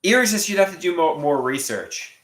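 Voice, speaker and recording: A man in his late thirties talks casually to his livestream viewers in a bright, fairly high voice, and his nose sounds stuffed. He stumbles and restarts his sentences often, and his pitch swings widely. The room around him is noticeably echoey. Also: animated. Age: 30s. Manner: halting, repeats words and restarts sentences. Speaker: livestream host. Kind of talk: casual livestream chat reading viewer comments.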